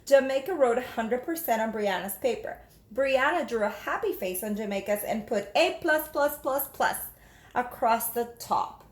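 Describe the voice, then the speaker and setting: A woman teacher reading a storybook aloud to children in an expressive, instructive manner. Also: fairly high pitch, normal speed. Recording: echoey room.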